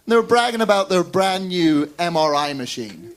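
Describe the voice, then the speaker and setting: A man from Northern Ireland with a Northern Irish accent, doing a wry, deadpan stand-up set on a club stage. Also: high-pitched. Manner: wry, deadpan storytelling with quick character voices. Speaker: stand-up comedian.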